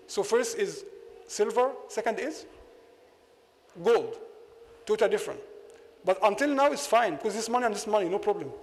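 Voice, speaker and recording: Egyptian-accented imam, native Arabic speaker, high voice, argumentative lecture, echoing mosque hall. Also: male voice. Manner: argumentative, rhetorical questions. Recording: long hall reverb.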